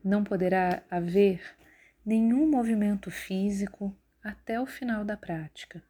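A woman leading a guided yoga nidra relaxation in a calm, measured, instructive way. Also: medium pitch. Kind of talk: guided relaxation meditation narration.

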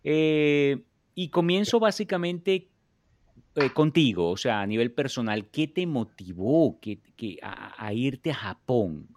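A man's voice: medium pitch, sing-song, slow, a little mellow. A man hosting an interview, talking casually and hesitantly with many fillers and restarts. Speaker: host. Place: home in the US, dry room.

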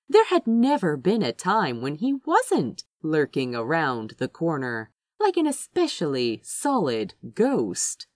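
A woman reading fiction aloud as an expressive narrator, with dramatic, deliberate phrasing and emphasis on key words. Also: low-pitched, dry recording, studio quality. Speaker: fan fiction reader, narrator.

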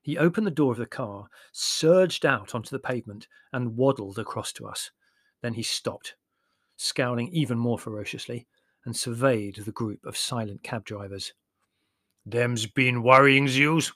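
A man's voice: mid-range voice, normal speed, dry recording, studio quality. A man reading a literary memoir aloud as an audiobook narrator, in a descriptive storytelling style.

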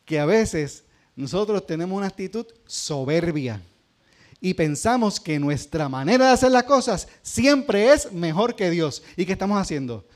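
High-voiced Puerto Rican pastor preaching. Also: male voice, big pitch swings, normal speed. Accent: Puerto Rican Spanish. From Puerto Rico. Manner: conversational preaching, asks the congregation questions. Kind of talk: sermon.

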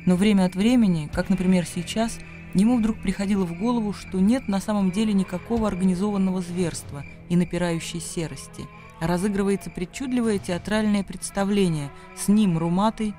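High-pitched male narrator over music. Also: darkish tone. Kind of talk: literary narration in a radio play.

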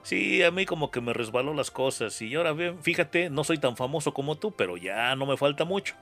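A man's voice: medium pitch, animated, a little fast, a bit bright, faint music behind. A Mexican man hosting a casual interview, talking in a slangy, rambling way and often repeating his phrases. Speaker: interview show host.

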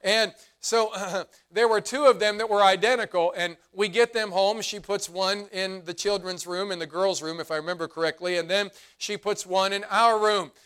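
A man preaching a sermon as pastor, his voice hoarse and fading, earnest, slowing down for emphasis. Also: high voice, steady tone, a bit bright. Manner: earnest preaching, slows and drops to emphasize points.

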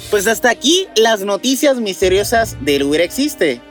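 Lively high-voiced Mexican man bantering over music. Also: moderate pace. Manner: slangy, playful, swearing. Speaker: podcast news co-host. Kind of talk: casual banter. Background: background music.

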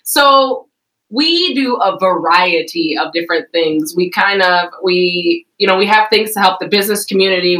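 A woman's voice: fairly low pitch, fairly bright tone, low-quality recording. A woman chatting on a podcast as a guest, upbeat and loose with lots of fillers. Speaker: guest, ministry co-founder. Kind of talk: casual podcast conversation.

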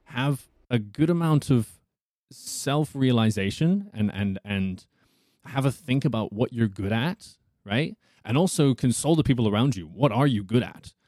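A man from South Africa, an actor chatting casually on a podcast with a husky, soothing voice and a thoughtful manner. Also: medium pitch, animated, moderate pace, darkish tone, no echo, clean studio sound.

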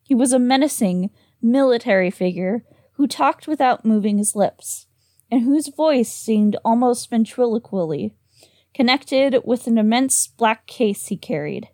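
A woman's voice: a little high, animated, a little slow, a little mellow, no room sound, clean studio sound. A woman reading a horror story aloud with a slight lisp on s sounds, sometimes tripping over words. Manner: giggly, trips over words. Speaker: reader and co-host.

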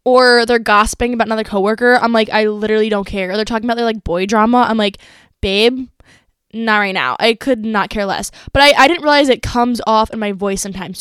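Young woman solo podcast host, bright-pitched, smooth clear voice, playful and loose with swearing. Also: no echo, clean studio sound. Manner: chatty and playful, swears freely. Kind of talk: casual solo podcast chat.

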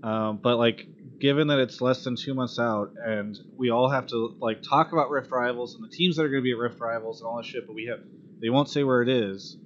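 A man's voice: medium pitch, fairly even intonation, fairly quick. A man hosting an esports call-in show, chatting casually and bluntly, with some swearing and exasperation. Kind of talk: casual live talk show chat. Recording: dull lo-fi audio with clear background noise.

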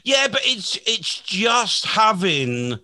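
A man's voice: high-pitched, very animated, slow, pushed and bright, dry recording. A man in his forties, a comedian hosting a podcast, chatting in a rambling, self-deprecating way with frequent restarts. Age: 40s. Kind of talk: casual podcast chat.

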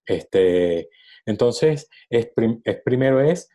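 Un hombre de unos sesenta años, productor de cine invitado a un podcast, que piensa en voz alta con muchas muletillas. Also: male voice, medium pitch, animated, takes it slowly, mellow. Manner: thinking aloud, many fillers. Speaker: film producer guest. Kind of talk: casual podcast interview.

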